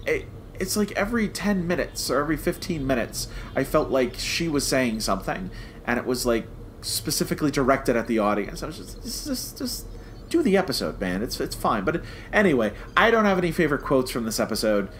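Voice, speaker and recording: Guy doing casual podcast review chat, stammery restarts, mid pitch, some noise behind him. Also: big pitch swings, a little fast, a bit bright.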